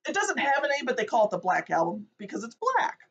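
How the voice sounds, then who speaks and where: A woman's voice: fairly high pitch, very animated, fast, husky. A woman in her fifties co-hosting a casual chat show, rambling and joking about herself. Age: 50s. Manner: rambling, jokey, self-deprecating. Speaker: co-host. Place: seated indoors at home. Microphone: phone camera. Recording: dull lo-fi phone recording.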